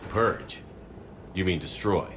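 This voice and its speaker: An actor playing a firm, persuasive military commander in a video game. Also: male voice, low-pitched, slow pace, a crowd in the background. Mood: questioning, serious.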